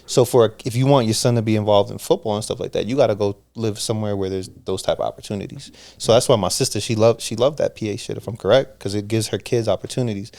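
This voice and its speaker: A man's voice: on the low side, gravelly, dark tone. A man in his thirties, from Pennsylvania, talking as a podcast guest in a casual, slangy storytelling way. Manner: fast, slangy storytelling with frequent restarts. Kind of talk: casual conversation.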